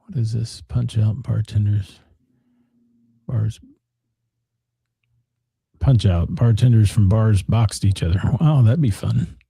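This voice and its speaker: Radio co-host, hoarse, very dark husky tone, dry studio sound. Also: male voice, fairly low pitch, takes it slowly, pauses often, no echo. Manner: joking, rambling, with restarts. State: losing his voice. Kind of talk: casual on-air chat, reading listener comments.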